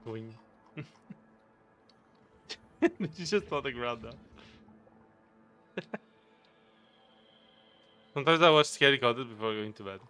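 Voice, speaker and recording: A man with a Portuguese accent chats casually with his stream audience. He rambles and chuckles often as he reads and answers the chat. His voice has a bright, forward tone, with soft music playing in the background. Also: medium pitch, expressive, slow, pauses often, clear, resonant tone. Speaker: game streamer.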